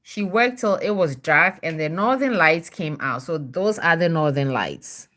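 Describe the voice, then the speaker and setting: Mother reading picture books aloud; bright, highly animated voice, simple explanations, checks in with the child. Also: unhurried.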